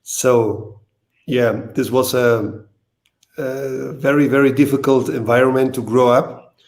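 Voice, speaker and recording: A man from East Germany, a native German speaker talking with a German accent, who speaks in a halting way, repeats words and uses non-native phrasing as a guest on a video call. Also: medium pitch, steady tone, rough recording. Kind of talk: casual interview talk.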